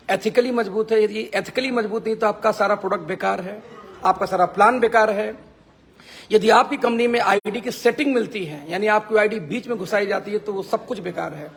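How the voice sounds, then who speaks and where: Hoarse, gravelly high-voiced man. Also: normal speed. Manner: emphatic, repeats phrases for effect. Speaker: network marketing team leader. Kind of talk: motivational Facebook live talk.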